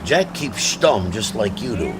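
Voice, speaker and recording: A middle-aged American man playing a gruff, world-weary police detective in a game drama. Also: lowish voice, sing-song, a little slow, over loud music. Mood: matter-of-fact. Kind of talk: cinematic game drama acting.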